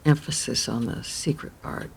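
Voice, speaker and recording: A woman playing a kindly, cryptic elderly widow in an audio drama, gentle and measured with a husky, creaky voice. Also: very deep voice, fairly even intonation, full character voice, poor audio. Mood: calm, cryptic. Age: older.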